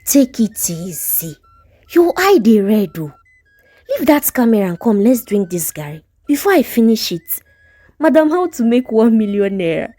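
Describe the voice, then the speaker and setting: A woman's voice: on the high side, very animated, moderate pace, warm tone, no echo. A woman storyteller with a Nigerian accent voicing a young woman, the frank, chiding friend of a scammer. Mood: mocking, teasing. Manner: blunt, chiding.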